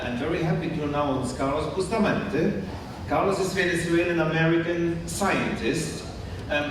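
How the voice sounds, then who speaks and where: A man introducing a keynote speaker, reading haltingly and stumbling over names and words. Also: a little high, far from the mic. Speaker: event host introducing the speaker. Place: large conference hall. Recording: echoey hall, mic heard from afar, noisy.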